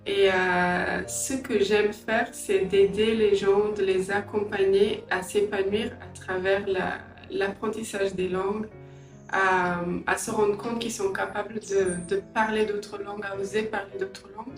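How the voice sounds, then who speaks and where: A middle-aged woman from Angola and England giving a personal interview, reflective and hesitant, often pausing mid-sentence. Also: medium pitch, flat delivery. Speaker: interviewee, entrepreneur and language teacher. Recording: roomy echo, distant mic, faint music.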